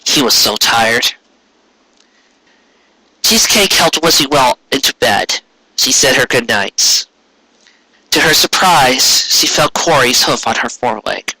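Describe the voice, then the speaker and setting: A man reading a story aloud as an amateur narrator, in a dramatic, measured way with pauses. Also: medium pitch, expressive, pushed and bright, breathy edge, no room sound, rough recording. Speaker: amateur audiobook reader.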